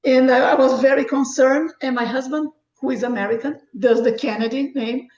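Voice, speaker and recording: A woman's voice: high voice, fairly even intonation, fairly quick, a little mellow. A middle-aged woman from Brazil, a native Portuguese speaker with a Brazilian accent, chatting enthusiastically as a guest author. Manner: enthusiastic, frequent restarts and laughs. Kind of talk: casual interview chat. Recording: echoey, dull lo-fi sound.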